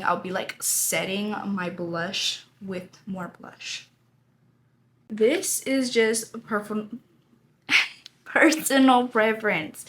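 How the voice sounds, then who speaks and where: Chatty woman with a raspy lost voice. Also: mid-range voice, fairly bright tone, a little hiss or hum. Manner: chatty, rambling, laughs often, says y'all. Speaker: beauty vlogger. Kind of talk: casual get-ready-with-me chat. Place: at home doing makeup.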